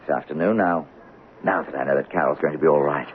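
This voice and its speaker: An actor playing a soft-spoken writer in an old radio drama, polite and prone to stammering. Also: male voice, a bass voice, fast, narrow, tinny audio. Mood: polite, hesitant. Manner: hesitant, stammering and apologetic. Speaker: Robin Graves, a writer. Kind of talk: 1970s radio drama acting. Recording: old radio broadcast, phone-thin with hiss.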